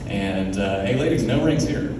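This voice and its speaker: A man's voice: on the low side, lively intonation, normal speed, noisy background. Laid-back male comic in echoey club. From Oklahoma, USA. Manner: laid-back, filler-heavy delivery with lots of "man" and "uh". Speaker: stand-up comedian. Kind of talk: stand-up comedy set. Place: comedy club stage. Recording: very echoey club room from far off. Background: audience laughter.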